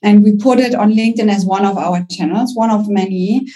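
A woman's voice: medium pitch, brisk, warm tone. A young woman with a German accent, a company founder speaking confidently as a guest on a business podcast. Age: young adult.

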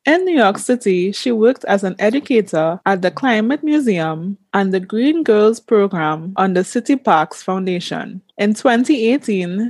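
A woman hosting a podcast, reading a measured prepared introduction and asking her guest questions. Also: medium pitch, no echo. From Caribbean. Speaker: podcast host.

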